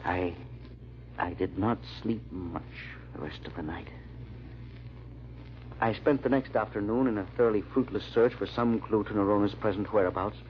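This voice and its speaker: An actor playing a haunted, earnest gentleman sleuth, with dramatic, hesitant delivery in old-time radio drama style. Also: fairly low pitch, moderate pace, close to an everyday voice. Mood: haunted, brooding. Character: haunted, earnest gentleman amateur sleuth narrating his search. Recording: muffled old radio recording with hiss.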